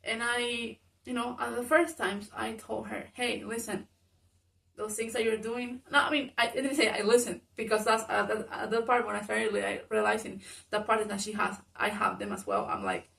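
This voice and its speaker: A woman whose first language is Spanish talks through a personal story, with a Spanish-speaking accent and a loud voice. Her speech runs on with fillers and restarts, and a gravelly fry creeps in. She sits a little back from the microphone. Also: fairly high pitch, moderate pace, recorded from a distance. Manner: rambling, lots of fillers, grows loud when reenacting arguments. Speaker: vlogger.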